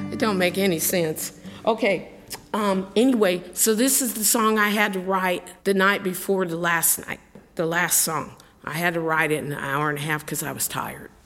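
A woman's voice: lowish voice, normal speed, fairly bright tone, big-room sound, faint music behind. A middle-aged woman, a singer-songwriter, telling a rambling, chatty story between songs at a live show, laughing as she goes. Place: live concert venue with audience.

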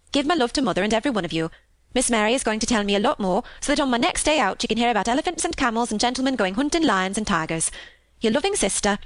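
Lady doing a good-hearted maid girl, talks quick, homely dialect, storybook. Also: a little high, no room sound, voice pushed up. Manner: homely dialect, brisk and chatty. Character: chatty, good-hearted young country housemaid.

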